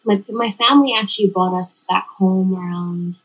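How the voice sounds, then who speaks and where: A young woman from the Philippines by way of Dubai, a podcast guest chatting casually and haltingly, with many restarts. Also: medium pitch, slightly rough, rough recording. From Dubai-born Filipino. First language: Tagalog. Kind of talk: casual interview chat.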